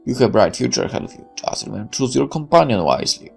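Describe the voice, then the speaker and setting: A man voicing a doting but commanding middle-aged military father in a visual novel, measured and firm. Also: medium pitch, big pitch swings, gravelly, slightly rough, soft background music, full character voice. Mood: doting, indulgent.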